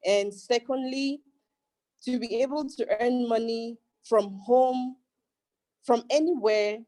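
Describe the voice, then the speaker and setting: Cheerful woman from Cameroon, mellow voice. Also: a little high, slow pace. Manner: cheerful and excited. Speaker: student guest. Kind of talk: testimonial on a video call.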